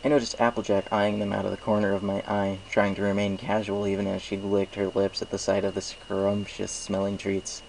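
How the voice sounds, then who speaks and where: A man with a fairly low voice narrates a piece of fiction. He reads from a script as an amateur storyteller, with faint noise in the home recording. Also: monotone, brisk, gravelly, hardly any pauses, a little mellow, poor audio. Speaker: fan fiction reader.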